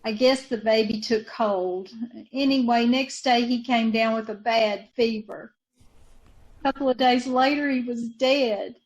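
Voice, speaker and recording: A woman's voice: on the high side, measured pace, rough recording. A woman acting a grieving, bitter sister in an old-time radio drama, with plain folksy speech and repeated phrases. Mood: bitter, weary. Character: grieving, bitter sister of a committed young mother.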